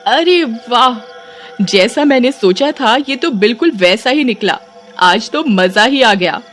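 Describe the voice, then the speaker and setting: A woman voicing an eager, playful young wife in an animated story, in a coaxing, exclamatory way. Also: on the high side, slow, a little hiss or hum. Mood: delighted. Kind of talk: animated Hindi storybook acting. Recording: music behind the voice.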